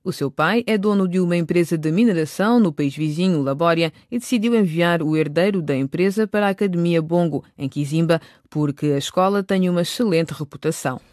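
A man's voice: fairly high pitch, brisk, hardly any pauses, darkish tone, no echo, clean studio sound. A man reading scripted narration for a serial radio drama.

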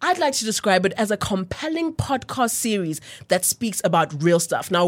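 A South African woman hosting a studio podcast, upbeat and slangy in casual chat. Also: mid-range voice. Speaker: podcast host.

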